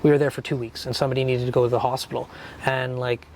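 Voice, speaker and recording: A man from Fort McMurray hosting a morning interview show, chatty and upbeat with lots of quick interjections. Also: medium pitch, level tone, darkish tone. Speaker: host. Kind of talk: casual interview chat. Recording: faint background noise.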